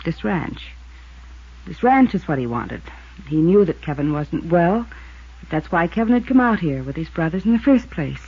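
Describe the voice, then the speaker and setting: A woman's voice: on the low side, big pitch swings, moderate pace, breathy edge, heavily acted. A woman playing a poised, evasive rancher's widow with an American accent in a 1950s radio drama. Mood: bitter. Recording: dull, band-limited 1950s radio recording.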